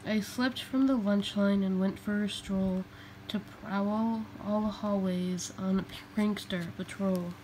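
A woman reading a children's picture book aloud in a deliberate way, phrase by phrase. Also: mid-range voice, fairly even intonation, mellow, poor audio. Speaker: storybook reader. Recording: faint background noise, home recording.

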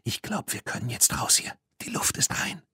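A man's voice: moderate pace, pushed and bright, no echo. Male narrator as teenage farm girl, practical, spirited, storybook, dry close sound. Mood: hushed, tense.

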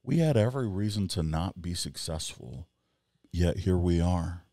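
A man in his forties, co-hosting a podcast in casual chat, rambling and animated as he tells stories with quoted asides. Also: low-pitched, normal speed, dry recording, studio quality. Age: 40s. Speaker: podcast co-host. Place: small wood-paneled studio room. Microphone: headset and boom mic.

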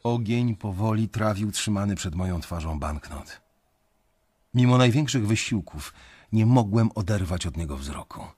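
A man's voice: lowish voice, a little mellow, breathy edge. A man playing a brooding, conscience-stricken young banker who narrates a radio drama in the first person, introspectively. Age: young adult. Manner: measured, introspective storytelling.

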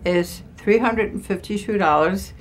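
An older woman interviewed in a small ship cabin, answering in a halting way, hunting for words and restarting. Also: mid-range voice. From New York area. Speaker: interviewee, cruise passenger. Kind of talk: casual chat. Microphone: handheld camera close up.

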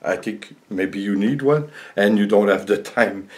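An older man with a French accent speaks in a fairly low voice. He talks casually to the camera like a home vlogger, halting and rambling as he feels his way through each thought in English, his second language. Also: darkish tone. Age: older, around 60. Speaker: vlogger and painter. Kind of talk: casual vlog chat to camera. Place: small home art studio indoors.

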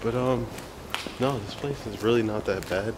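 A young man casually narrating a handheld vlog while exploring an abandoned factory, relaxed and slangy, joking with his viewers. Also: lowish voice, normal speed, background noise. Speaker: urban explorer vlogger. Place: abandoned factory, large indoor space. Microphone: handheld camera mic.

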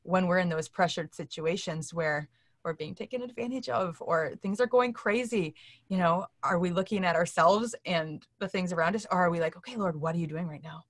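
Middle aged woman telling stories on an interview, earnest, slightly low voice, dry sound. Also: fairly quick, no room sound. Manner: earnest, acts out quoted speech while telling stories. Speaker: interview guest. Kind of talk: animated storytelling in a casual interview. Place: indoor room, seated on a couch on a video call.

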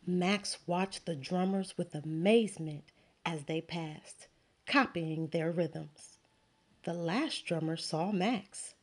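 A woman, a teacher, reading a children's picture book aloud with slow, expressive storytelling. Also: fairly low pitch. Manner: slow, expressive storytelling with sound words and character voices.

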